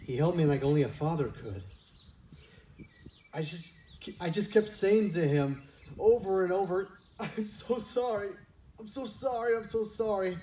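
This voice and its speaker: A man's voice: sits high, measured pace, warm tone. Man acting a repentant prodigal son, distant mic. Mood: remorseful. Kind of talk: reader's theater church skit monologue. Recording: distant mic, noisy room.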